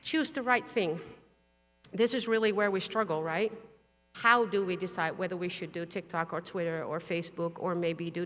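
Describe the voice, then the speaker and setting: Blunt Dutch-native woman in a hall. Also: on the low side. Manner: frank, blunt, with mild swearing. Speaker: conference speaker. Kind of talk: conference talk with slides. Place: large conference hall. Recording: long hall reverb.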